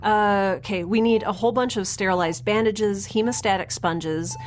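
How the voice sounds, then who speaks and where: A woman's voice: medium pitch, steady tone, moderate pace, soft background music, lightly acted. A woman playing a harried young space scientist in a sci-fi audio drama, speaking informally with wry, hesitant urgency. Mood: flustered, urgent.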